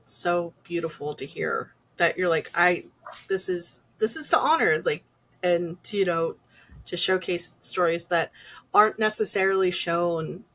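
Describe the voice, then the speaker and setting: A woman hosting a casual interview, relaxed and reflective in a chatty way. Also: fairly low pitch. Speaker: podcast host, interviewer.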